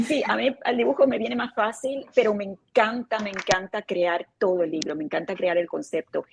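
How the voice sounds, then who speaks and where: A woman's voice: mid-range voice, normal speed, vocal fry, slightly rough. A woman, an author and illustrator whose first language is Spanish, speaking expressively on a panel over a video call. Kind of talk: casual panel chat.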